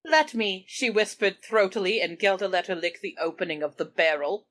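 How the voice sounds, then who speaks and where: A woman's voice: medium pitch, moderate pace, fairly bright tone. A woman reading comic fan fiction aloud in a dramatic storytelling style, prone to giggling as she goes. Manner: breaks into giggles while reading. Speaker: reader of fan fiction on a comedy podcast. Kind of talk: dramatic read-aloud of comic erotic prose.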